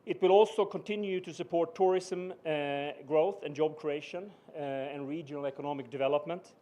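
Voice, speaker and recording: A middle-aged man with a Swedish accent gives a measured, formal speech. His voice sits a little high, and he pauses often on "uh" between phrases. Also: fairly even intonation, warm tone. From Sweden. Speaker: business executive giving a speech. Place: ceremony venue.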